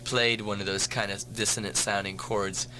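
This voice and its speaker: A man with a bright, forward voice, talking as a guest musician in a campus radio studio. He rambles through his answers with a joking, wry tone, and a faint hum sits behind him. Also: fairly low pitch, level tone, normal speed. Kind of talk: casual radio interview.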